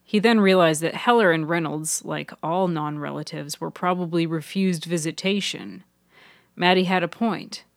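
Female narrator for serial fiction podcast, slightly low pitch, dry close recording. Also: normal speed, dry recording. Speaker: author and narrator.

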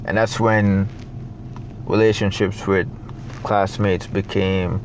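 A young adult man from Jamaica with a Jamaican accent, talking in a reflective, halting way with many fillers as a guest in a casual interview. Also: sits low, level tone, a little hiss or hum.

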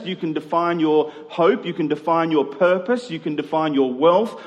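A man preaching a sermon as a pastor, swinging between emphatic and hushed delivery with rhetorical questions. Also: high-pitched. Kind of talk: sermon to a congregation. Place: large reverberant church hall. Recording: long hall reverb.

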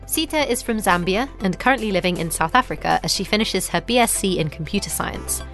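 A woman hosting a podcast, reading a scripted introduction in a clear, measured way and asking questions. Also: mid-range voice, lively intonation, fairly bright tone. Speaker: podcast host. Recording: faint music behind the voice.

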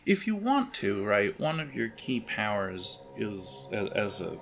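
A man improvising narration as game master of a tabletop horror game, halting as he searches for words. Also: on the low side, very animated, a bit bright, soft background music.

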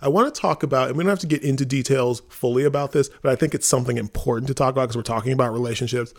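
A man's voice: medium pitch, rapid-fire. A man hosting a casual podcast for a theater company, talking earnestly and driving his points home.

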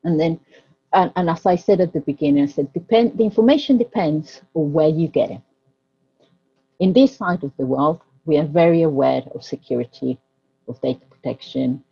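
A woman from Ecuador with a low, husky voice, speaking English as an on a panel. She gropes for words and repeats herself as she goes. The sound is dull and muffled. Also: normal speed. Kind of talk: panel talk.

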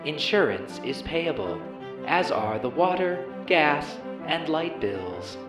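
A woman voicing an automated house's dutiful announcer, reciting reminders in a measured, official way for an audio drama. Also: sits low, big pitch swings, heavily acted. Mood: neutral, dutiful. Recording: roomy echo with loud music.

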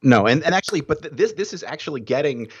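A man co-hosting a podcast, unhurried and thinking aloud in casual chat. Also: fairly high pitch, lively intonation, slightly rough. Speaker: podcast co-host, university academic. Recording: dull lo-fi sound.

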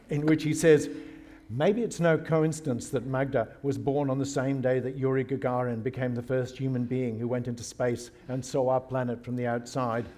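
A man's voice: mid-range voice, a little mellow, a little hiss or hum. A man of Scottish descent hosting a talk, introducing his guest and then asking her questions, with light laughs. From Scotland. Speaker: host.

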